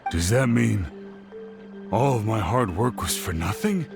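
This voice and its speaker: A man's voice: lowish voice, lively intonation, a little slow, gravelly. An actor playing an earnest young male scholar who eagerly explains his theories. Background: game music.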